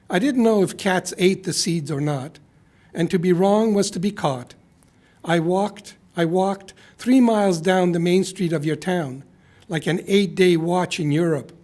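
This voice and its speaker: Higher-voiced man reading poetry informally. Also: darkish tone. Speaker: poet reading at a reunion event.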